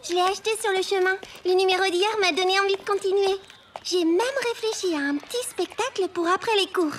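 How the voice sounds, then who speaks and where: Une comédienne de doublage qui joue une jeune écolière de dessin animé pour enfants. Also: female voice, extremely high pitch, a little fast, slight background noise. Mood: cheerful. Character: lively young schoolgirls in a children's cartoon. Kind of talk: French children's cartoon dubbing.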